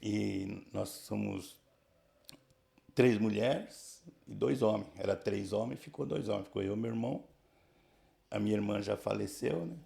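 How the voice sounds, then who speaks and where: A man in his sixties from the São region of Brazil, telling his life story casually in a halting way, full of restarts and tag questions. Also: on the low side, creaky, dark tone. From Brazil, São Paulo region. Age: 60s. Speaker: church member giving testimony.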